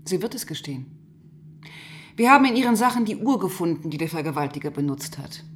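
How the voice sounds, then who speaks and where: A woman playing a composed, probing police inspector who narrates and questions in a measured, matter-of-fact way in a radio crime drama. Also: lowish voice, breathy edge. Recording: studio radio drama with music.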